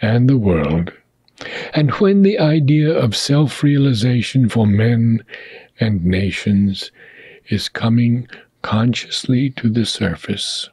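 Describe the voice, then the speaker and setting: A man reading a philosophical text aloud in a deliberate, measured way, pausing between short phrases and letting phrase ends fade into a breathy whisper. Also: medium pitch, expressive, a little mellow, dry recording. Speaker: audiobook reader.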